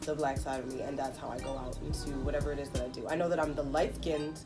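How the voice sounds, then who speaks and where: Interview guest: woman, 20s, very deep register, candid and reflective, prone to swearing, clear music bed. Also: steady tone, distant mic. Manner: frank, halting and reflective, with casual swearing. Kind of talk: candid personal conversation. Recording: off-mic voice under prominent music.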